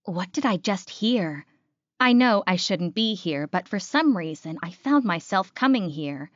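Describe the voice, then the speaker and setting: A woman's voice: mid-range voice, lively intonation, unhurried, no echo, muffled recording, close to an everyday voice. A woman voicing a jealous, scheming female werewolf in first-person audiobook narration. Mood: scheming, resentful.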